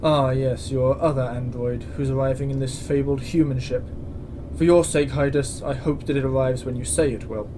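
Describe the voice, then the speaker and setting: A man's voice: mid-range voice, steady tone, normal speed, natural voice. Stern, exasperated commandant acted by a man, noisy background. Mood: stern warning. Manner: curt, authoritative. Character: stern, exasperated male space station commandant. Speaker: military commandant. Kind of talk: science-fiction audio drama acting. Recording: clear background ambience noise.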